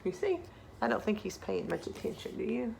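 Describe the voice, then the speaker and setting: Animated, creaky-voiced woman reading aloud. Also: mid-range voice, a little fast, noisy background. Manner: engages listeners with rhetorical questions. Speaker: read-aloud storyteller. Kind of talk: expressive picture-book read-aloud with asides to children.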